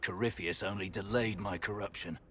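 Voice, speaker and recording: An actor playing a weary, defiant fallen knight commander, speaking in a gruff, resentful, curt way in a video game. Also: male voice, low-pitched, fairly even intonation, moderate pace, bright, forceful tone, slight background noise.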